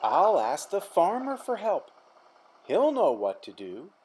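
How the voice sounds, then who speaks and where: A woman's voice: lowish voice, huge pitch swings, slow, voice pushed up, exaggerated voice. A woman voicing an eager young boy in a children's story. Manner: eager and bright.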